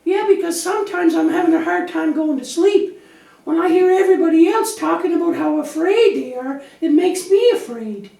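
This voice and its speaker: A woman's voice: very high-pitched, normal speed, vocal fry, warm tone. A woman voicing an anxious, childlike little lamb puppet in a children's church show, naive, earnest and chatty. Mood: anxious, worried. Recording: echoey room, distant microphone.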